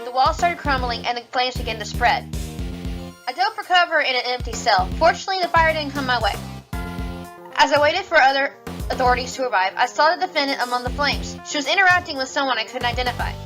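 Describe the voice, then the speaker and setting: Brisk, high-voiced rabbit cop played by a woman. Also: expressive, over music. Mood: earnest testimony. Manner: formal, assertive. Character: young female rabbit police officer, brisk and earnest. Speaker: voice actor in a fan audio drama. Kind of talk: courtroom audio drama acting.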